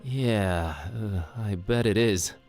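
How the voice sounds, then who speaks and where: A man playing a wry, casual, sarcastic hero in an animated adventure game, speaking in an offhand way. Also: lowish voice, takes it slowly, faint music behind. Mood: friendly, awkward. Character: wry, casual, sarcastic out-of-town adult male sleuth hero.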